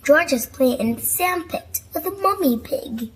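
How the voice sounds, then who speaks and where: A woman reading a bedtime storybook aloud to young children in an expressive, scripted narration style. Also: high voice, unhurried.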